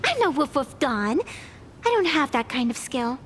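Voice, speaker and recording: A proud and whimsical ageless female arbiter from a game cutscene. Her voice is high and earnest, with a storyteller's delivery, and soft music plays underneath. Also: big pitch swings, moderate pace. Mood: matter-of-fact, wistful. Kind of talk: video game cutscene acting. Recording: music behind the voice. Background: background music.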